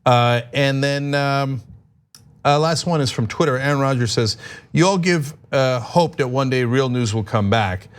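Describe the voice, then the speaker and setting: A man's voice: medium pitch, a little slow, smooth. Casual male host reading viewer comments. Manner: casual, reads comments aloud, wry asides. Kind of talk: casual chat on a live news show.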